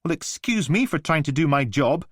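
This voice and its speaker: A man's voice: fairly high pitch, lively intonation, moderate pace, no echo, clean studio sound, voice pushed up. A man voicing a petulant male detective sergeant who sounds indignant and whiny.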